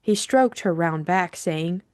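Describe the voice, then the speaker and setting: A woman reading literary fiction as an audiobook narrator, measured and deliberate in her storytelling. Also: on the low side, a little mellow, no echo, studio quality.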